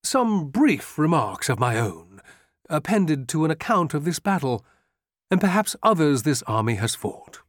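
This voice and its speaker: A man's voice: fairly high pitch, big pitch swings, a little slow, no room sound, studio quality, voice pushed up. A man putting on a pompous, fussy colonel. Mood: earnest, fawning. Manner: wordy, hesitant, awestruck. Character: pompous, fussy, gushing foreign colonel, middle-aged man.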